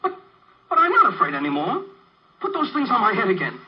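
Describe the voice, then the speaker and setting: High-pitched, excitable working guy. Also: fairly quick. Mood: anxious, pleading. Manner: stammering, flustered, plain-spoken. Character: excitable, dim working-class man in love, newly and briefly brainy. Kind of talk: 1950s comic radio drama acting. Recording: muffled old 1950s radio broadcast.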